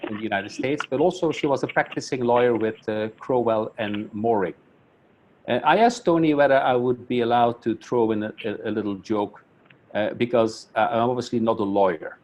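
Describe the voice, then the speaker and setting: A man's voice: mid-range voice, background noise. A man from Belgium, a Flemish speaker, introducing a speaker at a webinar in a friendly, self-deprecating way, often restarting his words over a video call. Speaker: academic council chairman introducing a speaker.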